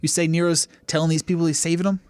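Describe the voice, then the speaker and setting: A man's voice: a little high, flat delivery, quick pace, darkish tone, smooth, dry recording, pitched above the performer's own voice, close to an everyday voice. Guy doing an angry no-nonsense saboteur in a tabletop game, blunt orders, close dry sound. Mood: angry, resolute. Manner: blunt, commanding. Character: Taylor, angry, decisive saboteur ally.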